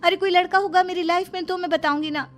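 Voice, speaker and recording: A woman's voice: very high-pitched, fairly even intonation, quick pace, voice pushed up. A woman playing an earnest, defiant young sister who stands up for herself in a cartoon family story. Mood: upset, protesting. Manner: pleading, protesting.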